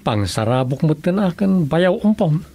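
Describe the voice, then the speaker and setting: A man's voice: on the high side, big pitch swings, moderate pace, loud music behind the voice. A man acting as an easygoing villager in a conversational radio drama. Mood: explaining.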